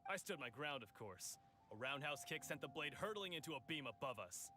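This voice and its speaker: A man's voice: fairly high pitch, sing-song, fairly quick, pushed and bright, soft background music. An actor playing a boastful, cocky male brawler who brags and chuckles at his own stories, in video game voice acting.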